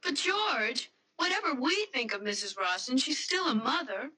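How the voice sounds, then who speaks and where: A woman's voice: sits high, very animated, normal speed, sharp tone, full character voice. An actress playing a compassionate, anxious young woman in a radio drama, earnest and questioning. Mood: doubtful, protesting.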